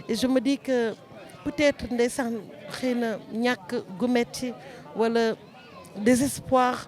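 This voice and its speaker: A woman's voice: fairly high pitch, a little mellow. A woman with a Senegalese French accent, interviewed outdoors, speaking in a sorrowful, reflective way. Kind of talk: street interview.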